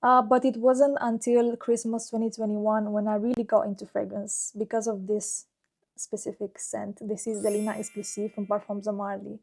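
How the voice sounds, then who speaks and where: A woman's voice: mid-range voice, flat delivery, moderate pace, gravelly, a little mellow. A woman, talking casually and fondly about her own life as a YouTuber, with many "like" fillers. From Romania. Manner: chatty and fond, with frequent "like" fillers. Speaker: fragrance YouTuber. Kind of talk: casual personal vlog talk.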